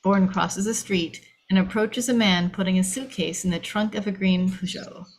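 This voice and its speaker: A woman reads the action and scene headings of a film script aloud. Her voice sits at a medium pitch, and she delivers the narration dramatically, as at a table read. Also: steady tone, normal speed, low-quality recording. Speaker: narrator reading stage directions.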